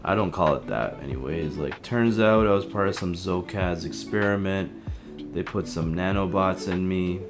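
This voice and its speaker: A man's voice: deep voice, moderate pace, music in the background. A man playing an easygoing, empathetic, slightly awkward young helpline counselor in a casual audio drama. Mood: reflective. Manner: casual, hesitant, slangy. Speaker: helpline host.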